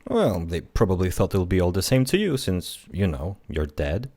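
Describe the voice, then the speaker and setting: A man's voice: low-pitched, very animated, moderate pace, darkish tone, exaggerated voice. A man speaking in a dry, matter-of-fact way in a radio play, set back from the mic.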